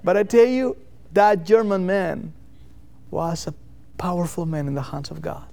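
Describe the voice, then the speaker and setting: High-voiced Catalan-accented man preaching. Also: expressive, creaky, a little mellow. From Catalonia, Spain. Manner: earnest, rhetorical repetition with deliberate emphatic phrases. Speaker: missionary preacher. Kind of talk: sermon.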